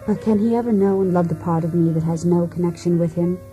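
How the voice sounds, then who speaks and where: An actress playing a disillusioned wife in a poetic radio drama, speaking in a measured, introspective, theatrical manner. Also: female voice, fairly low pitch, dark tone, natural voice, poor audio. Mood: cool, confessional. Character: disillusioned, cool, self-searching wife in a failed marriage. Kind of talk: poetic monologue in a radio play. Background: music.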